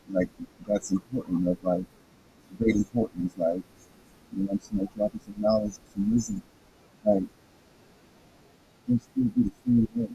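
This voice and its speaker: A hoarse man reading a book aloud with casual commentary, halting and stumbling over his words, his voice raspy. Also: mid-range voice, warm tone, highs cut off, a little hiss or hum. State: hoarse, throat hurting.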